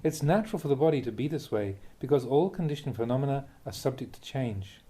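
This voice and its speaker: A man reading a Buddhist teaching aloud as a Dhamma talk, in measured, deliberate phrases with emphatic contrasts. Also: mid-range voice, animated, warm tone.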